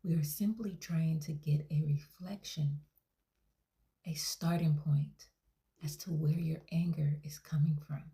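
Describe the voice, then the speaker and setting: A woman plays a patient therapist in a comedy sketch who speaks in a slow, breathy near-whisper. Her voice is low and mellow, and she keeps her tone gentle and probing. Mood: soothing. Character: soft-spoken calm female therapist, patient and probing.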